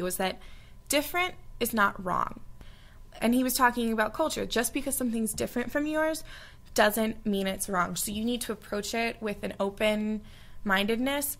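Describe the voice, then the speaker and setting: A woman's voice: mid-range voice, fairly bright tone. A young woman from the United States, a study abroad alumna, giving earnest, heartfelt reflections in an interview, with frequent fillers. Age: young adult.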